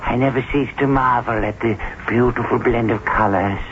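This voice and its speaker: Mysterious gentleman, male actor: courteous, deliberate, probing, mid-pitched, old radio play with dull, hissy sound. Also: fairly even intonation, natural voice. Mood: admiring, probing. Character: courteous, enigmatic, softly probing gentleman borrower. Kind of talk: old-time radio drama acting.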